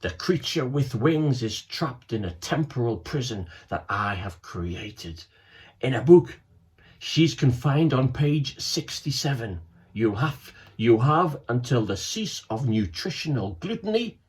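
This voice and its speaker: A man voicing a pompous, scheming male villain in a children's storybook, with slow, booming, gloating delivery. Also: big pitch swings, darkish tone. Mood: menacing.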